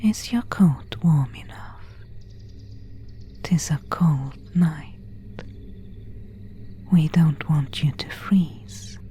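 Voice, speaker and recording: A woman's voice: low-pitched, drawn-out words, pauses often, warm tone. A woman playing an elegant, seductive vampire of an ancient family in a whispered ASMR roleplay, speaking intimately in formal, archaic phrases. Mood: courteous, beguiling. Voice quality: breathy, unvoiced whisper.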